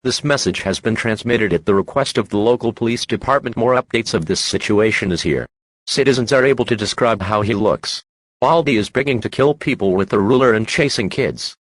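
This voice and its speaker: A man reading a scripted emergency broadcast announcement in a formal, measured, official manner. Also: on the low side, no echo, poor audio.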